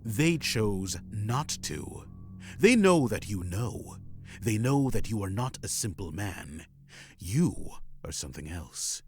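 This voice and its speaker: An actor playing a grave, mysterious ex-criminal informant and desperate father in an adventure game, speaking cryptically and urgently, with phrases that drop toward a hush. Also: lowish voice, big pitch swings, takes it slowly. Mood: intense, dramatic. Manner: cryptic, urgent, dramatic delivery with hushed line endings. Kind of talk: dramatic point-and-click adventure game voice acting. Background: music.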